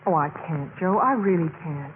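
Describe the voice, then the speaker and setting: An actress playing an earnest, spirited young American college pledge in a 1950s radio drama. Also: female voice, low voice, moderate pace, natural voice. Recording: muffled, noisy old radio recording.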